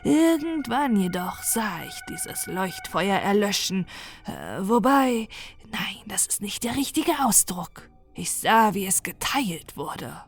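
Audiobook creature: a contemptuous otherworldly male being, whispered slow and airy, put on by a woman reader. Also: mid-range voice, wildly swooping pitch, soft background music, exaggerated voice. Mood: scornful whisper.

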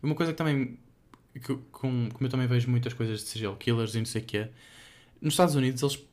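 Young adult male podcast co-host, European Portuguese accent, mid-pitched, loose and conversational, feeling his way through sentences with fillers. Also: fast, a little mellow. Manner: hesitant, rambling, filler-heavy.

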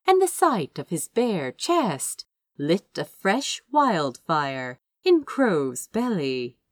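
A woman narrates fiction in a lowish voice that swings widely in pitch. She takes her time, drawing out phrases with expressive emphasis, and the sound is dry and close. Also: no room sound. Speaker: amateur audiobook reader of fan fiction. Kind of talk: scripted narration, dramatic storytelling read aloud.

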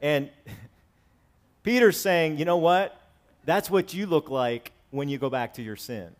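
Male pastor, 40s, slightly high voice; sermon delivery alternating emphatic and conversational. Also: lively intonation, slow. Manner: swings from loud preaching to soft asides, conversational. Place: church sanctuary with congregation.

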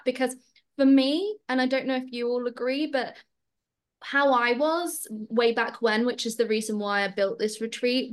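A woman hosting a podcast about her retreat, chatty, effusive and grateful as she welcomes her guests. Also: a little high. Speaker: podcast host and retreat leader.

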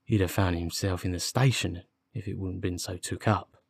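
A man's voice: sits low, steady tone, normal speed, vocal fry, breathy edge, dry recording, exaggerated voice. A man voicing a defensive, dim working-class police constable with colloquial, ungrammatical speech in an audiobook. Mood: aggrieved. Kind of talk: audiobook character dialogue.

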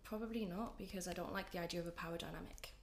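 A woman's voice: on the low side, fairly even intonation, rapid-fire, reverberant. A young woman in her twenties, chatting as a podcast guest, a bit congested from a flu, rambling and self-deprecating. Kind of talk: casual chat.

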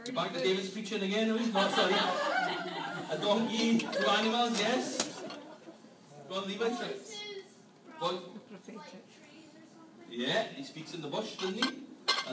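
A man's voice: high voice, distant mic. A man leading an interactive family sermon in a church hall, playfully quizzing the congregation. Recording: echoey hall, mic from afar, room noise.

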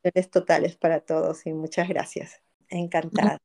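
Woman therapist guest, dark gravelly voice, earnest and halting. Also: sits low, little pitch movement. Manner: earnest, hesitant, repeats words. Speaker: guest psychologist. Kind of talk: interview talk.

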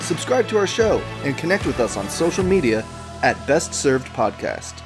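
A man reading a scripted podcast outro in a smooth promotional tone. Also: on the high side, sing-song, unhurried. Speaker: podcast announcer. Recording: announcer over loud music.

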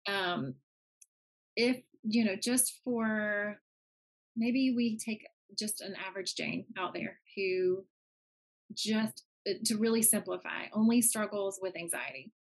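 A woman's voice: medium pitch, takes it slowly, creaky, husky, low-quality recording. Woman host asking meandering questions. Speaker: podcast co-host. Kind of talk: interview questions.

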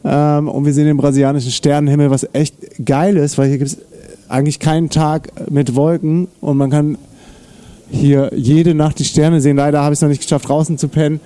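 A man hosting a podcast, interviewing in a relaxed, slangy, upbeat way. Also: on the high side, fairly quick, no room sound. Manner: upbeat, laid-back, slangy. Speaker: podcast host. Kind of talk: casual interview chat. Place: outdoors at an evening camp.

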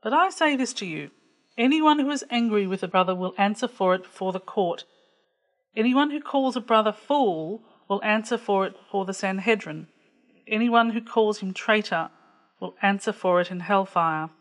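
Measured woman reading scripture, medium pitch. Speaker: scripture reader. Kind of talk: reading gospel text aloud.

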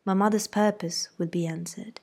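A woman voicing a sensible young woman who speaks in a hushed whisper in a storybook reading. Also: medium pitch, steady tone, moderate pace, gravelly, husky.

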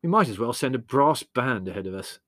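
A man's voice: mid-range voice, lively intonation, fairly quick, a bit bright, no room sound, clean studio sound. Man acting a pompous, exasperated young man, voice swooping. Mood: irritated. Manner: bitter, sarcastic, elaborate indignant phrasing. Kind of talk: audiobook character voice.

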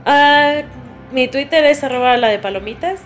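A Mexican woman with a fairly high voice chats as a podcast co-host over background music. Her delivery is informal and conversational, like casual talk between two people. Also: moderate pace. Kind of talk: casual chat. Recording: music under the voice.

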